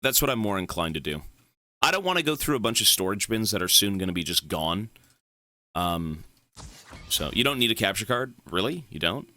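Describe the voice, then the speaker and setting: A man from Canada talks casually on a game stream, rambling and cursing as he reads chat. His voice is very bright and lively, sitting a bit low, with faint noise behind it. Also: fairly quick, no room sound. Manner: rambling, swears, answers chat.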